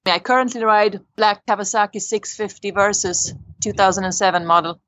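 A woman who grew up in Sweden, answering interview questions in a plain, steady, matter-of-fact way. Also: mid-range voice, normal speed, no echo, dull audio. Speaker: dog groomer and volunteer medical courier rider.

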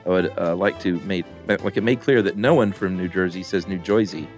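A middle-aged man co-hosting a podcast, reading listener mail in a rambling, relaxed way with lots of fillers. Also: low-pitched, brisk, soft background music. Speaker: podcast co-host.

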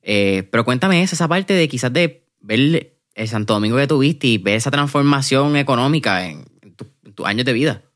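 A man's voice: mid-range voice, expressive, a little fast, fairly bright tone. A man with a Puerto Rican accent hosting an interview podcast, slangy and friendly, with fillers. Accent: Puerto Rican Spanish. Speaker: podcast host.

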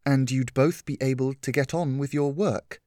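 A man reading a story, voicing a caring adult woman who talks softly and patiently. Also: mid-range voice, steady tone, normal speed, no echo, clean studio sound, lightly acted. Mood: gentle questioning. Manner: soft, patient, comforting. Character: gentle caring adult woman, calm and reassuring.

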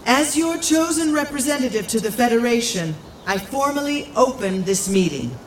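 A woman playing a venerable, firm village matriarch, speaking in formal, measured public address in an audio drama. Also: fairly high pitch, expressive, close to an everyday voice. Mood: stately, formal. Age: older. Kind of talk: scripted audio drama acting. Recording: echoey, faint background noise.

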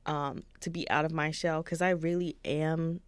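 A woman in her thirties co-hosting a podcast in casual chat, soft-spoken and reflective. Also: sits low, flat delivery, moderate pace, clean tone, no echo. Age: 30s. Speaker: podcast co-host.

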